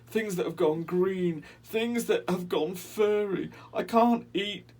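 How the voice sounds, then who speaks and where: A man's voice: sits high, darkish tone, voice pushed up. A man doing a tearful little rat. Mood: tearful pleading. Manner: whimpering, stammering, drawn-out pleading. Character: small frightened young male rat, tearful and pleading. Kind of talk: storybook character voice.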